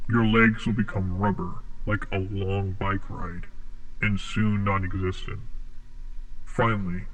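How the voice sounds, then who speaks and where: A man playing a cold, formal interrogator at a secret facility in a horror audio drama, with a husky, measured delivery. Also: on the low side, rough recording. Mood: clinical, matter-of-fact.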